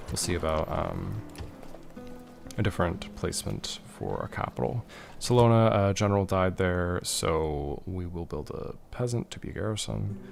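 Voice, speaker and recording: Low gravelly man streaming a strategy game. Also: moderate pace, soft background music. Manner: relaxed, musing aloud, answers chat questions. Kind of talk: casual gameplay commentary reading chat.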